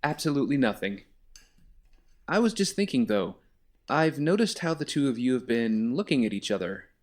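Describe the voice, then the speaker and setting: A man's voice: medium pitch, big pitch swings, moderate pace, a little mellow, natural voice. Glib young rich guy, played by a man, swooping intonation. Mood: teasing. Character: teasing young billionaire brother, glib and secretive. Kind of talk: audio drama acting.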